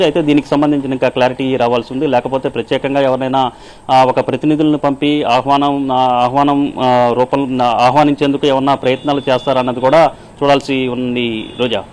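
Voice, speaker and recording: A man's voice: medium pitch, darkish tone, poor audio. A male news correspondent with a mid-range voice reports live without a script. He hesitates often and repeats his words as he goes. There is faint noise behind him on the live line. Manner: halting, repetitive, with many drawn-out hesitations.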